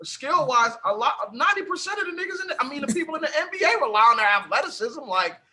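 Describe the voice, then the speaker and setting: A man's voice: extremely high pitch, sing-song, fast talker, sharp tone. A man co-hosting a sports podcast, arguing his point emphatically and calling others bro. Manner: emphatic, argumentative, calls people bro. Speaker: podcast co-host. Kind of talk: heated casual debate.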